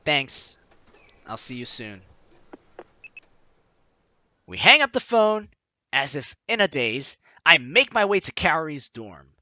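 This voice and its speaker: Guy doing a lets play, reads story out loud dramatically, super bright voice, kinda high, swings up and down. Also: slow pace, pauses often, no echo, dull audio. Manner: expressive, reacts with enthusiasm. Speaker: gaming video host. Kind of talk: animated let's play, reading game text aloud dramatically.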